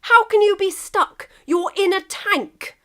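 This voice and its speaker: A woman's voice: very high voice, slow, bright, forceful tone, pitched above the performer's own voice, full character voice. A woman voicing a drunk, exasperated man who personifies England, emphatic and indignant, in a comic story reading.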